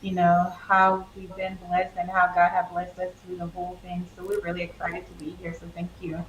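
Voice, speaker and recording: A woman's voice: lowish voice, completely flat delivery, normal speed. A cheerful, friendly woman in her forties from Ghana, a guest introducing herself on a talk show. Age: 40s. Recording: echoey room, faint room noise.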